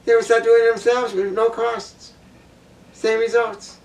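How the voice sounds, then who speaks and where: A woman with a low voice giving a blunt opinion monologue, repeating her points for emphasis. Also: level tone, unhurried, darkish tone, far from the mic, poor audio. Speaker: vlogger.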